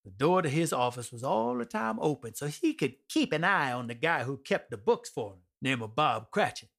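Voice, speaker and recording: An irreverent male storyteller narrates a radio drama in colloquial, streetwise style. His voice is bright and fairly high, very animated, speaking straight to the listener. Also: moderate pace, creaky, full character voice. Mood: wry, conversational. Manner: colloquial, wry, addresses listeners directly.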